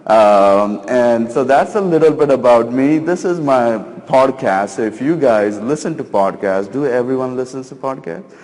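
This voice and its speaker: A man's voice: mid-range voice, animated, moderate pace, a little mellow. A middle-aged man giving a casual, self-deprecating talk as a conference speaker, with many fillers. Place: conference stage in a large hall. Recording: long hall reverb.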